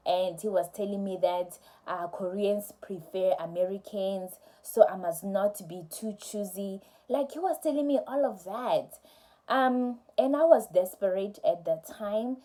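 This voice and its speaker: Warm-voiced woman vlogger. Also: medium pitch. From South Africa. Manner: candid, conversational, with soft laughs. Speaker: teacher vlogger. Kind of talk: casual storytelling to camera.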